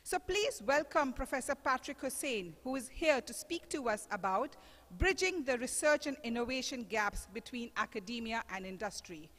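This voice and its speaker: A woman hosting an event, reading out a measured, prepared introduction of the guest speaker. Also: a little high, animated, fairly bright tone. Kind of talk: formal introduction. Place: large lecture hall. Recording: reverberant hall.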